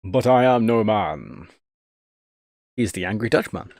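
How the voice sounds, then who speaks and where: Low-pitched man muttering on a livestream. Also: animated, measured pace, a little mellow, dry recording, clean studio sound. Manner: mutters steps aloud, self-deprecating, jokey. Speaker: live streamer, host. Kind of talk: casual live tech stream chat, thinking aloud while typing.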